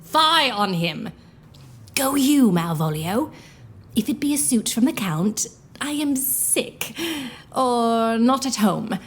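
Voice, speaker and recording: A woman's voice: fairly high pitch, lively intonation, takes it slowly, slight background noise. A woman playing a proud, witty young noblewoman in a Shakespearean audio drama, with a haughty, teasing, theatrical delivery. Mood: impatient.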